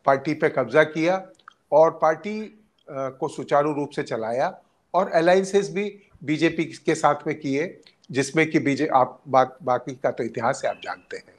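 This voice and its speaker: Male journalist, slightly high voice, slow delivery, halting, hesitant pauses, unscripted political analysis. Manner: halting, with long hesitant pauses mid-sentence.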